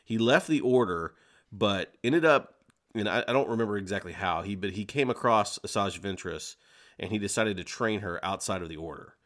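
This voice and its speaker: A man's voice: fairly low pitch, expressive, normal speed, a bit bright. A man co-hosting a podcast in a casual book discussion, talking emphatically and voicing characters' thoughts as he goes. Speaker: podcast co-host.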